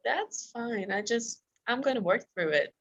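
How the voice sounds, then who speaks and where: A woman's voice: fairly high pitch, expressive, a little slow, fairly bright tone, lo-fi sound. Candid woman guest from Iran. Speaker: guest, self-discipline coach. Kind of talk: casual interview answers.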